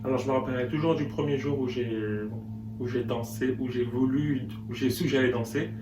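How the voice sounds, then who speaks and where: A man's voice: medium pitch, fairly even intonation, brisk, music in the background. A young man from French Guiana, answering interview questions in a hesitant, searching way with many restarts. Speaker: interview guest. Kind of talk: conversational interview. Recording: echoey room with noise.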